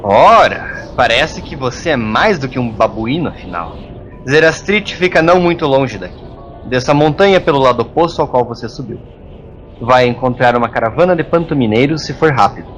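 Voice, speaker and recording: A man with a Brazilian accent playing a gruff, insulting hermit monk with magic powers in an audio story. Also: medium pitch, animated, moderate pace, a bit bright, soft background music, natural voice, rough recording. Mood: gruff, conceding. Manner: gruff, scornful, exasperated. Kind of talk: audio drama acting.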